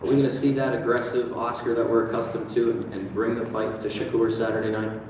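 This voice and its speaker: A man hosts a press conference in a large event room. His voice sits in an ordinary middle pitch and carries a long echo, as if picked up from across the hall. There is steady background murmur. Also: fast, background noise. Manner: hyped announcer build-up at the close. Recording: echoey hall picked up from far off.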